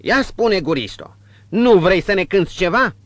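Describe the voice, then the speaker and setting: A coarse old tavern matron with a sharp tongue, her voice pitched high and rough, as a male narrator plays her. She talks bluntly and brutally in an audiobook scene. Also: dry recording. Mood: coaxing. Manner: brutal, snappish, then sugary flattering. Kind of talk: audiobook character voice.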